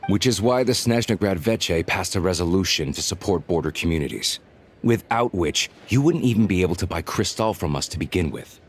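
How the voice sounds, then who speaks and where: A man's voice: sits low, expressive, brisk, a little hiss or hum. A man voicing a curt, unbending, by-the-book supply officer in a video game. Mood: stern, officious.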